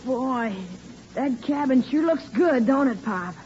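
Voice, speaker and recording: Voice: high-pitched, expressive, slow. A performer playing an eager, candid young American son in a 1940s radio sitcom. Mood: disappointed. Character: eager young son, a boy, puncturing his dad. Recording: noisy muffled vintage radio, studio audience.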